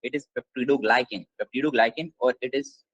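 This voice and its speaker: A man's voice: mid-range voice, a little fast, a bit bright, no room sound, low-quality recording. A man giving a live online biology lecture from his desk. He drills each point by repeating it, and his English phrasing is slightly non-native. His computer mic sounds dry and close, with no room sound at all. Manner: repetitive, drilling key points. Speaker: exam prep tutor.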